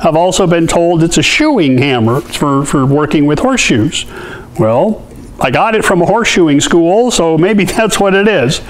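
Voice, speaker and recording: A man's voice: fairly high pitch, animated, moderate pace, low-quality recording. A man in his fifties giving a casual hands-on workshop tutorial in a folksy, conversational way. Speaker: artist and welding tips host. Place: indoor cluttered metal workshop.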